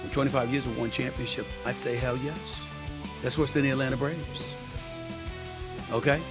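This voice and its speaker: A man's voice: mid-range voice, fairly even intonation, normal speed. A man giving opinionated sports-style commentary in an emphatic way, asking the listener rhetorical questions, in a played clip. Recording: clip played with music underneath.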